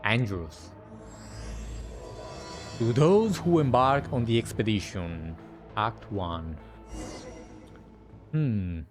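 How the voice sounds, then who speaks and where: A man's voice: lowish voice, animated, very slow, long pauses. A man giving casual reaction commentary while streaming a video game. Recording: faint game music behind.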